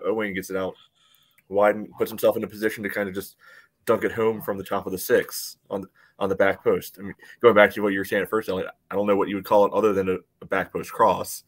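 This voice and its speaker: A man in a casual sports breakdown on a video call, explaining plays in a measured way with frequent "you know". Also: low voice. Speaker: podcast panelist. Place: indoor room, remote video call. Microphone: video call microphone.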